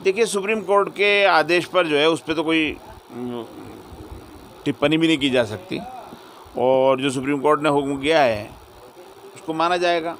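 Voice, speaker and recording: A male cleric answering in an interview, speaking reflectively in short phrases with pauses. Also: a little high, fairly bright tone. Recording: faint vehicle and ambient noise.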